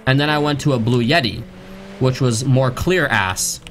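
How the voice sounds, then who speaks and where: A man's voice: medium pitch, moderate pace. Young man, game streamer, casual profane banter, condenser mic, faint background noise. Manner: loud, profane, joking. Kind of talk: casual gaming stream chat and roleplay. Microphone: condenser studio mic.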